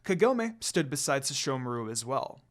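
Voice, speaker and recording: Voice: mid-range voice, sing-song, normal speed. A narrator reading a scripted fan fiction story aloud.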